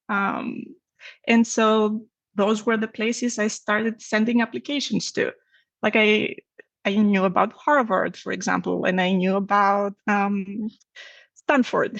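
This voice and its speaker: A woman's voice: fairly high pitch, fairly bright tone, dry recording, dull audio. A woman from Greece with a Greek accent, telling her own story conversationally as an interview guest. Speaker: podcast guest, academic researcher.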